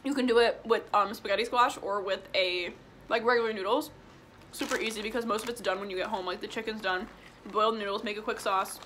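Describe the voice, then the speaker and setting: Bright-voiced young woman chatting while eating. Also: medium pitch, fairly even intonation, brisk, slight background noise. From Illinois. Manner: chatty, eats while talking, frequent "like" and "um". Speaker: vlogger. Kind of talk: casual mukbang Q&A chat. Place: small room indoors at home.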